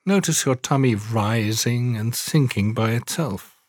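Slow, calm man guiding meditation. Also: medium pitch, no room sound, studio quality. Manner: calm, measured, soothing, with long pauses. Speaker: meditation guide, podcast host. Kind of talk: guided yoga nidra relaxation meditation, scripted narration.

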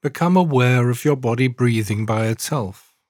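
A man guiding a scripted relaxation meditation in a calm, soothing, measured way, leaving long pauses. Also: medium pitch, dry recording, studio quality. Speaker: meditation guide, podcast host. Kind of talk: guided yoga nidra relaxation meditation, scripted narration.

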